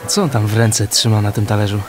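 An actor playing a blunt, crude police investigator who talks informally in a radio drama. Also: male voice, lowish voice, steady tone, quick pace, music in the background, close to an everyday voice. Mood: curious.

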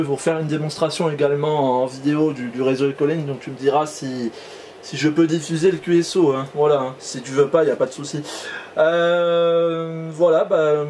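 A man's voice: on the high side, a little mellow, slight background noise. A man chatting casually as an amateur radio operator, hesitantly, often repeating and trailing off in his phrases. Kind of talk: casual radio contact chat.

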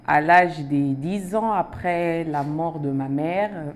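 A woman in her thirties from Germany and Switzerland, native German speaker, answering interview questions softly and hesitantly in a TV studio. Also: sits low, takes it slowly, slight background noise. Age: 30s. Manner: soft-spoken, hesitant, brief answers. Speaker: foundation president, guest. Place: TV studio set.

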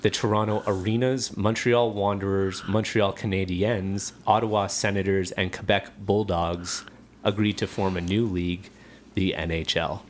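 Deep voiced guy co-hosting a sports podcast, faint noise behind him. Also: little pitch movement, normal speed. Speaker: podcast co-host. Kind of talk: casual hockey history segment.

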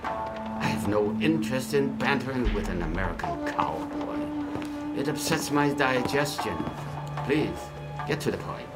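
An actor playing a haughty, disdainful information broker in a video game, speaking in a measured, pompous way. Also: male voice, mid-range voice, sing-song, over loud music. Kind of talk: video game cutscene acting.

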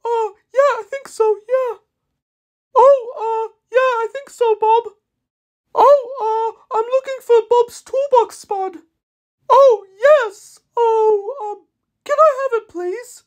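A man's voice: extremely high pitch, fairly even intonation, takes it slowly. A man voicing a timid, anxious cartoon crane truck in a hesitant, stammering manner. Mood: nervous, fretful.

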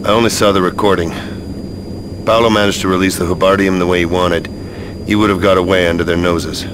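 A man's voice: low voice, steady tone, brisk, noisy background, close to an everyday voice. An actor playing a sardonic male action hero in a science fiction video game, wry and sarcastic.

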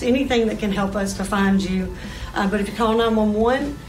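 A woman's voice: medium pitch, low-quality recording. A middle-aged woman, giving an informational explanation as a dispatch supervisor. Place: small dispatch room indoors. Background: music.

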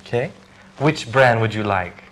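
A young man speaks in a slow, carefully paced scripted dialogue for English learners. His voice moves up and down a lot, and the studio recording carries a faint background hiss. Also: mid-range voice, expressive, a bit bright, rough recording. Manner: clear, deliberate delivery. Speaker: actor in English lesson dialogues.

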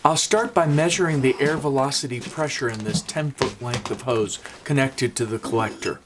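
Middle-aged man, workshop instructor, even explanatory delivery, mid-range voice. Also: medium pitch, moderate pace, noisy background. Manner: steady, explanatory, gestures while talking. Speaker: woodworking instructor. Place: small home workshop, indoors.

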